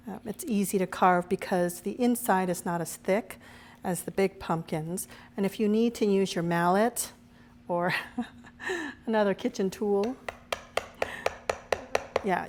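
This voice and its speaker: A woman hosting her own cooking show, her voice a little raspy as she recovers from a cold, chatting through each step as she cooks. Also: mid-range voice, a little mellow. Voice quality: slightly raspy. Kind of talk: casual cooking demonstration.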